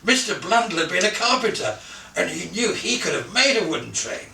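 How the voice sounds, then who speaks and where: A man reading a children's Christmas story aloud in an expressive, theatrical way, savouring lists and softening the ends of his phrases. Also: sits high, brisk, creaky, a little hiss or hum. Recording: roomy echo, distant from the mic.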